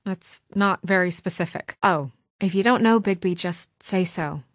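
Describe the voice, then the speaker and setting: An actress playing a composed, dutiful and easily exasperated young woman in a video game, earnest and caring, with crisp, businesslike delivery. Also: on the low side, moderate pace, dry recording, close to an everyday voice. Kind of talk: naturalistic video game voice acting. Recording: dry studio recording.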